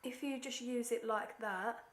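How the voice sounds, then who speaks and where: A woman's voice: a little high, fairly even intonation, unhurried. A young woman with a stuffy nose from a cold, chatting casually through a makeup tutorial and sometimes dropping to near-whispers as she concentrates. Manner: chatty, self-deprecating, drops to near-whispers while concentrating. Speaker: beauty vlogger. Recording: echoey room.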